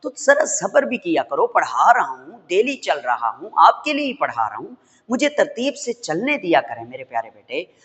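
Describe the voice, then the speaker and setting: A hoarse man, teaching a chemistry lecture and calling his students children in a fatherly way. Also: high voice, wildly swooping pitch, moderate pace, fairly bright tone, in a large hall. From Pakistan. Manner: fatherly, addresses students as children, mixes English terms. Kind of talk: classroom lecture.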